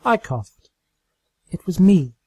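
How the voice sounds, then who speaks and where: A man voicing a timid, gentle young female pegasus pony, soft, breathy and hesitant. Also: high-pitched, sing-song, takes it slowly, dry recording, pitched above the performer's own voice, full character voice. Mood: resigned.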